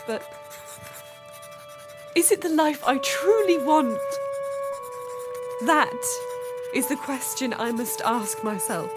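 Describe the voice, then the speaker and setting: Melancholy young gentlewoman played by a woman, slow halting delivery, higher voice, music underneath. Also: animated. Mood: pensive. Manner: wistful, halting. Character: melancholy, sheltered young Victorian gentlewoman confiding in diary. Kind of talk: dramatic diary monologue, radio drama.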